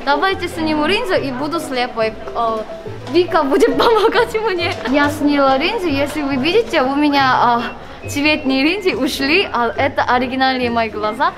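A woman's voice: sits high, lively intonation, normal speed. A young Korean woman speaking Russian with a Korean accent, chatting playfully through a hospital vlog with a close handheld camera. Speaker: vlogger. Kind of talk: casual vlog narration. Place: hospital hallways and exam rooms, indoors. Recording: background music and crowd chatter.

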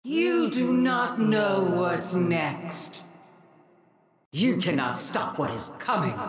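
Casting: imperious female alien game villain, menacing and grandiose, extremely drawn-out delivery, gravelly edge. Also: fairly high pitch, roomy sound, heavily acted. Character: vengeful, imperious female alien herald of an ancient race. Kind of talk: dramatic video game voice acting.

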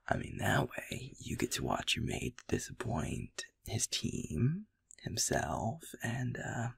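A man acting as a sleepy young werewolf boyfriend in an intimate audio roleplay. He mumbles in a slow, gravelly, husky voice full of fry. He is affectionate, self-deprecating and hesitant, chatting casually like a gamer at home. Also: mid-range voice, huge pitch swings, no echo, heavily acted. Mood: relaxed, musing. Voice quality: breathy, husky, gravelly half-whisper. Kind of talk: intimate roleplay ASMR, murmured ad-lib gamer chat.

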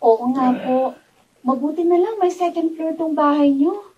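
A woman's voice: high voice, unhurried, highs cut off. A Filipino woman acting a sweet, dutiful young daughter in a radio drama. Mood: eager. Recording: distant studio camera mic, faint noise.

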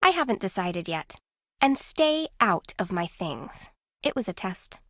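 A woman playing an aloof, competitive, secretive contestant in a video game, with a deadpan, clipped manner. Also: mid-range voice, unhurried, no room sound. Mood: cool, dismissive. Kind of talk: video game character acting.

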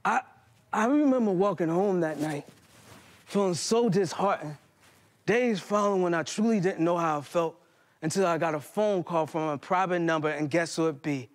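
An American man in his thirties performs spoken-word poetry on stage. His voice sits high, and he phrases dramatically, leaving deliberate pauses. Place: indoor hall stage before small audience.

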